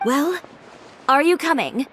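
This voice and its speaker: Cool, sharp-tongued young designer. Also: female voice, high-pitched, very animated, slow pace, slight background noise, full character voice. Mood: cool, determined. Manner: cool, blunt, dryly confident. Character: fiery, self-assured young fashion designer, sharp-tongued and wry. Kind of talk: anime video game voice acting.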